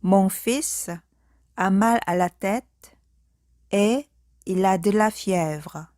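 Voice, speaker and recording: A woman teaching a language, saying short sentences slowly and carefully, phrase by phrase, then repeating them whole. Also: mid-range voice, warm tone, no room sound, clean studio sound. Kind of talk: slow speaking-practice drill.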